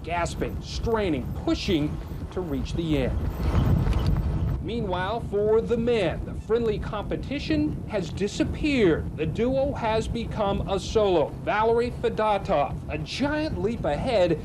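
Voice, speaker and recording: Male news reporter, high voice, dramatic clipped sports narration, noisy VHS broadcast recording. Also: big pitch swings, a little slow. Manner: dramatic, clipped short phrases.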